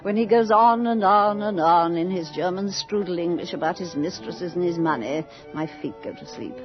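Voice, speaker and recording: A woman's voice: fairly low pitch, moderate pace, lightly acted. A woman acting a bored, witty, imperious aristocratic widow in a period radio play, with a languid, theatrical drawl. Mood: scornful. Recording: muffled 1980 radio drama with music.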